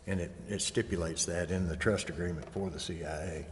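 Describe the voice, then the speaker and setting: A man's voice: low-pitched, fairly even intonation, brisk. A man explaining procedural rules at a public meeting. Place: indoor council chamber. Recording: reverberant chamber, faint hiss.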